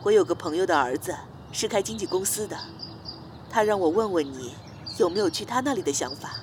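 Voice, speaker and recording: A woman acting in an audio drama as a gentle, considerate middle-aged mother, speaking in a measured, soft manner. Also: on the low side, expressive, a little hiss or hum, natural voice. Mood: gentle, tactful.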